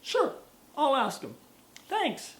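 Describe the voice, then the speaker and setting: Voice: sits high, huge pitch swings, slow, pitched above the performer's own voice, full character voice. A storyteller voicing a young man seeking his luck, talking casually and bluntly with slang. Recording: roomy echo.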